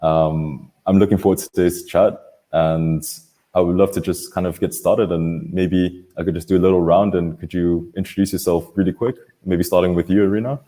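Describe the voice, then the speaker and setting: Low mellow guy hosting a casual online panel, kinda hesitant phrasing. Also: steady tone, moderate pace. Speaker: host of an online panel webinar. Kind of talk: casual presenting on a live video call. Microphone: computer video call.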